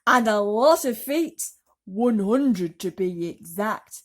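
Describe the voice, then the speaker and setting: A woman's voice: medium pitch, expressive, takes it slowly. A woman voicing a boastful, gleeful male centipede rascal in a storybook reading.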